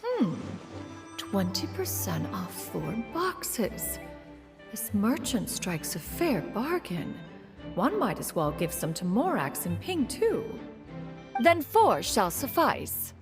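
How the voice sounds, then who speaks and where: A woman's voice: mid-range voice, very animated, takes it slowly. A woman voicing an ancient, proud female adeptus who speaks in formal archaic terms and calls herself "one". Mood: deliberating. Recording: game audio with music.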